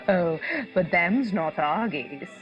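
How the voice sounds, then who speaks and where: Woman playing unhurried, chummy tavern landlady. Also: fairly low pitch, animated, muffled recording, faint music behind. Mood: jolly, chummy. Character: cheery, chummy female tavern landlady, flirtatious and jolly. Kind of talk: radio drama acting.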